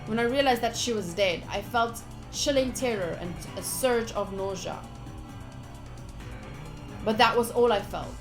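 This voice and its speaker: A woman's voice: on the high side, measured pace, long pauses. A woman streamer reading visual novel text aloud, with casual commentary of her own. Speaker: game streamer. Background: game music.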